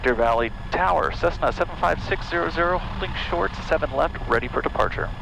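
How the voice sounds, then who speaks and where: Over a noisy aircraft radio with vehicle sound behind, a male pilot makes clipped calls. He speaks quickly and with a creaky, gravelly edge. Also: fairly high pitch.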